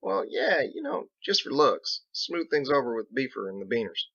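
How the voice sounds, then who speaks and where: Man acting a high, drawling sheriff. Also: sing-song, normal speed, gravelly, fairly bright tone, no room sound, muffled recording, full character voice. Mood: sheepish, casual. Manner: drawling, blunt, slangy.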